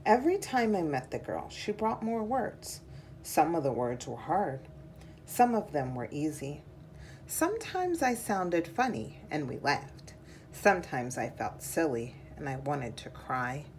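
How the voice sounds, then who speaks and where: A woman reading a children's picture book aloud in a measured, deliberate storytelling style. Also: low voice, big pitch swings, a little hiss or hum.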